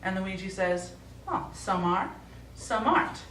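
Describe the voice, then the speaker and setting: A woman's voice: medium pitch, lively intonation, a little slow, fairly bright tone. An actress on stage playing the exasperated but caring adult daughter of a forgetful father, speaking in an insistent, pointed way. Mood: joking. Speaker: stage actor. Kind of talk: live one-act stage play acting. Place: small theatre stage. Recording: echoey theatre, distant mic, faint noise.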